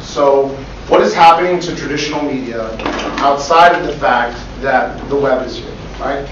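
A middle-aged man with a loud voice giving a business presentation, with frequent ums, heard from across a conference room. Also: fairly high pitch, a little hiss or hum. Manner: loud, says so himself, with many ums. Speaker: startup co-founder and guest speaker. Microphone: far room camera mic. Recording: echoey room from far off, dull lo-fi.

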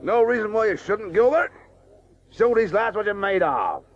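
A man's voice: high voice, lively intonation, normal speed. An actor playing a blustering, domineering middle-aged cricketer father in a radio play, with clipped, emphatic phrases. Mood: hearty. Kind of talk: 1980s radio drama acting. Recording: muffled old radio broadcast recording.

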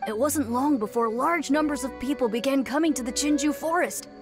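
A woman's voice: sits high, brisk, music in the background, lightly acted. A woman voicing a small, anxious, childlike spirit in a video game. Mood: earnest.

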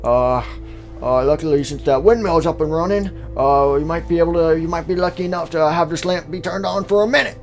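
A man's voice: on the high side, fairly quick, soft background music, lightly acted. A man putting on an old hotel owner who drawls and mumbles his way through a rambling tour, in a playful game roleplay. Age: older.

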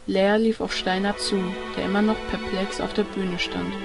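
Woman narrating an audio play, pretty flat delivery, loud music under her. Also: mid-range voice, moderate pace, creaky. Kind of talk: scripted audio drama narration.

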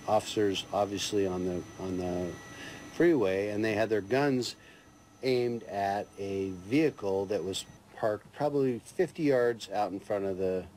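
Halting middle-aged man, low voice. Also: darkish tone, a little hiss or hum. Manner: halting, with restarts. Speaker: interviewed witness. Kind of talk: casual eyewitness account.

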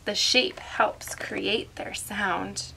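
A woman's voice: medium pitch, steady tone, extremely slow, gravelly, bright, forceful tone, a little hiss or hum, rough recording. A woman reading aloud to young children as host, instructing and prompting them with questions.

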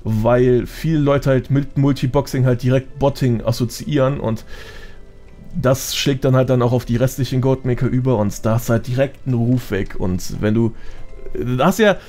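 A man's voice: medium pitch, normal speed, warm tone. A man, a podcast guest explaining things in informal, slangy casual chat. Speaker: podcast guest, gaming content creator.

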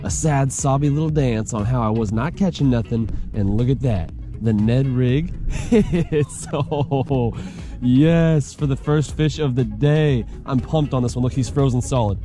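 A man's voice: mid-range voice, unhurried, mellow. Folksy American man vlogging over music. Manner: colloquial, folksy slang. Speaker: fishing vlog host. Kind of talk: casual outdoor vlog chat to viewers. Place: outdoors by a pond. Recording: music laid over speech.

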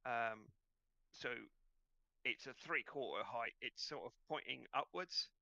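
A man narrating an online tabletop game as game master in a hesitant, halting way. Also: mid-range voice, bright, forceful tone, no room sound, lo-fi sound.

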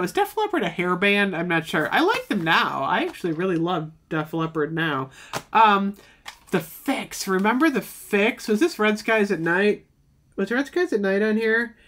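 A middle-aged woman chatting casually to camera in an expressive, exclamatory, nostalgic way. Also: mid-range voice, slight background noise. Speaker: vlogger showing a personal collection. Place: indoor room with shelves.

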